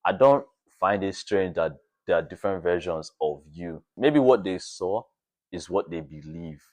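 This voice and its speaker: A young man chatting casually as a podcast guest, thinking aloud in a halting, anecdotal way. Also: low voice, animated. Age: young adult. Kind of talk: casual chat.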